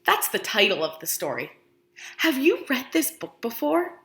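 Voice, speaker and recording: A woman teacher doing an expressive picture book read-aloud for young children, asking questions with encouraging, exaggerated delivery and pausing for answers. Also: on the high side, roomy sound.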